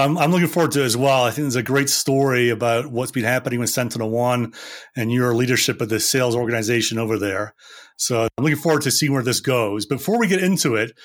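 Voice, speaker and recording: A man from Scotland, hosting a casual podcast interview with brisk, upbeat questions. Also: medium pitch, fairly bright tone. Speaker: podcast host.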